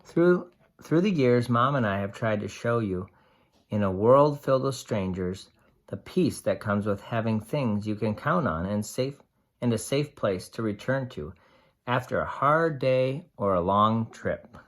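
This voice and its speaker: A storyteller reading a picture book aloud to young children in a deliberate, expressive way, pausing to explain simply. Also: mid-range voice. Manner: slow, deliberate, explains and asks simple questions.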